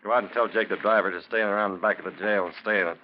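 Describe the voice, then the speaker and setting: An actor playing a practical small-town sheriff with a folksy, no-nonsense manner, in a 1940s radio drama. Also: male voice, lowish voice, fairly even intonation, rapid-fire, lightly acted. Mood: brisk, urgent. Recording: dull, noisy vintage radio recording.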